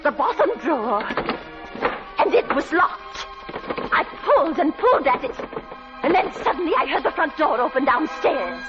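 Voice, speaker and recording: A dull old radio recording with music behind an anxious middle-aged landlady. Her high voice swings widely as she speaks in a breathless, dramatic way. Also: very animated, moderate pace. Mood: fearful, urgent. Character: anxious, flustered working-class landlady and mother, middle-aged. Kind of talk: 1940s radio drama acting.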